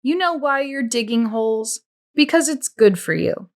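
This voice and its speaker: A woman's voice: on the high side, lively intonation, moderate pace, pitched above the performer's own voice. A woman reader voicing a stern, sarcastic female camp warden with pointed, interrogating questions. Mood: stern, commanding.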